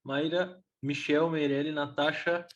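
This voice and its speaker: A man's voice: on the high side, flat delivery, measured pace, highs cut off. A man with a Brazilian Portuguese accent, casually presenting a live event in an informal, enthusiastic way. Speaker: event host.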